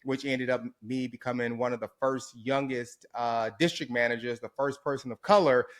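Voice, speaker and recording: Guy from houston talking about his life on a podcast, mid-pitch voice, upbeat and positive, easygoing. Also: steady tone, moderate pace. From Houston, Texas. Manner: upbeat, reflective storyteller. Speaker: interview guest. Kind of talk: casual interview chat.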